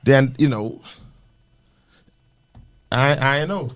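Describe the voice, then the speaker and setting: A Bahamian man co-hosting a radio panel talk show, giving animated opinions with many restarts. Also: medium pitch, drawn-out words, dry recording. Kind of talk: casual radio panel discussion.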